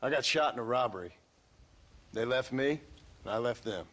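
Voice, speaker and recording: An actor playing a blunt, weary former outlaw in a video game, speaking tersely with a rural American Western accent. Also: male voice, mid-range voice, animated, normal speed, a bit bright, close to an everyday voice. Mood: weary, reflective. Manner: terse, plain-spoken. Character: world-weary male ex-outlaw, blunt and stubborn. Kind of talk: video game cutscene acting.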